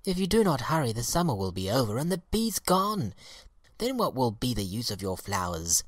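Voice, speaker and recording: A man's voice: medium pitch, big pitch swings, moderate pace, dry recording, studio quality. A man voicing bossy garden flowers in a children's storybook, chiding in an emphatic way. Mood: scolding. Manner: scolding, emphatic.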